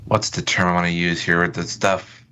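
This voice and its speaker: A man's voice: sits low, little pitch movement, moderate pace, sharp tone, smooth. A man as a podcast guest, explaining things in casual, loosely phrased chat with tentative wording.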